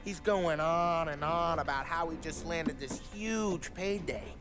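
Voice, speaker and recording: An actor playing a brash, excitable American gangster henchman in a game cutscene, talking in cocky street slang. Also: on the high side, measured pace, lightly acted. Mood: storytelling, sly. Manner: slangy, cocky, animated street-tough talk. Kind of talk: video game cutscene acting. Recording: music behind the voice.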